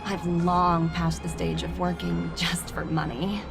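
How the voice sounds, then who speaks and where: A woman's voice: fairly low pitch, level tone, unhurried, vocal fry. A woman voicing a confident, easygoing young executive in an anime-style video game. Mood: resolute. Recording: music under the voice.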